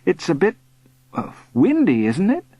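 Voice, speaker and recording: A man's voice: high-pitched, wildly swooping pitch, a little slow, mellow, dry recording, dull audio, pitched above the performer's own voice, heavily acted. A man voicing a mild, concerned mother with a tentative way of asking, in a narrated story. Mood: hesitant.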